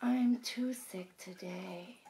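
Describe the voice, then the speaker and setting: A woman's voice: mid-range voice, slow pace, mellow, pitched below the performer's own voice, lightly acted. A woman voicing a male bear in a picture book, who is sick and weak and then bounces back cheerful.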